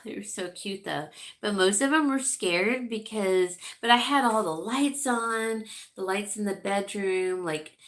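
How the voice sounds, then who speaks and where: A woman's voice: medium pitch, a bit bright. A middle-aged woman from California hosting a casual livestream, chatty and rambling, a bit congested and sniffling. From California, USA. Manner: rambling, chatty, reads viewer comments aloud. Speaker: livestream host chatting with viewers.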